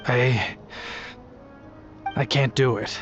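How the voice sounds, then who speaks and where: A man's voice: mid-range voice, fairly even intonation, drawn-out words, highs cut off, over music. An actor playing a desperate, guilt-ridden family man in a video game, with anguished, pleading delivery. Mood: anguished, defiant.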